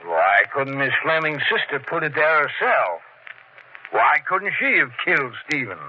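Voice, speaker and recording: An actor playing a skeptical, pushy small-town sheriff in a 1940s radio mystery, folksy and blunt. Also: male voice, fairly high pitch, big pitch swings, measured pace. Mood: suspicious. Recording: muffled, faintly noisy 1940s radio recording.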